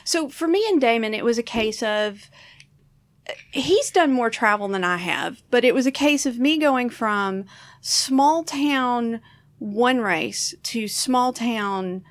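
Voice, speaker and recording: Woman, podcast co-host, fairly high pitch, candid, swears, casual chat. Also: slow. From Southern US. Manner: candid, swearing, animated storytelling.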